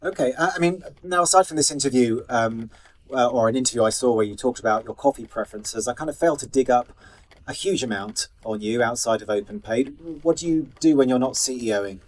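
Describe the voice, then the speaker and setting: A man hosting an interview, putting questions in an informal, conversational way with halting restarts. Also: medium pitch. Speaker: interview host.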